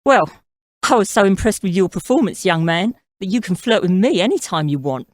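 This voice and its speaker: A woman's voice: medium pitch, animated, brisk, no echo, natural voice. A woman playing a flirtatious, scheming mother-in-law in her thirties in an audio drama, coy and self-assured. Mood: flirtatious, coaxing. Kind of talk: audio drama character acting.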